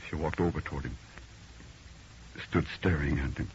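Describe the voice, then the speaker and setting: A man's voice: extremely low pitch, steady tone, normal speed. Haunted city man on muffled radio. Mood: hushed, ominous. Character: dazed, uneasy city man, stranded traveller telling his eerie tale. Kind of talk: old radio drama acting and narration. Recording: muffled old radio recording, faint noise.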